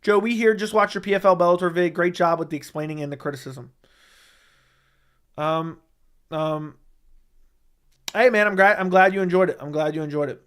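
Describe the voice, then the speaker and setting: A man's voice: sits high, normal speed, long pauses, fairly bright tone, smooth. A man hosting a casual mixed martial arts livestream, answering viewer chat in a blunt, opinionated way and repeating his points for emphasis. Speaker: MMA commentary livestream host.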